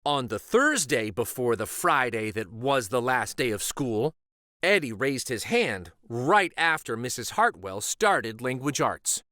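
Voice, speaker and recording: A man reading a children's picture book aloud as narrator, with expressive, dramatic emphasis. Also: medium pitch, measured pace, no echo, clean studio sound. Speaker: children's storybook reader and host.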